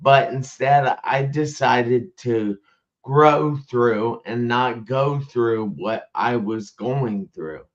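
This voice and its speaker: A man hosts a casual live-stream interview at a very slow pace. He is halting and often repeats words as he goes. The sound is dull and lo-fi, as from an online call. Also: mid-range voice. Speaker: live show host.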